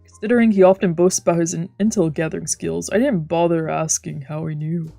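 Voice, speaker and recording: A woman with a slightly low voice narrates a visual novel as a streamer would, in a casual way. She is close and dry on the mic, with music faintly behind her. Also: fairly even intonation, brisk, creaky, mellow, dry recording. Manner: casual, slangy. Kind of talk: narration and casual dramatised reading.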